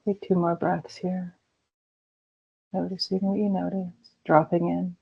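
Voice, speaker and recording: Slow, gravelly-voiced woman guiding meditation. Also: lowish voice, flat delivery. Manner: slow, soothing, gentle pauses. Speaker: meditation guide. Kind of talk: guided meditation. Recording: dull lo-fi recording.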